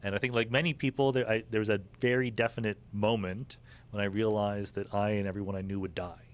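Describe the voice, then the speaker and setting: A man calmly answering in a podcast interview, even-keeled, with many hesitant fillers. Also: lowish voice, fast talker. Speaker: podcast guest.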